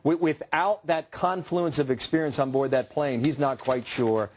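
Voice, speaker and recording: Male co-anchor, American, somewhat higher-pitched voice, conversational live news discussion. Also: moderate pace, darkish tone.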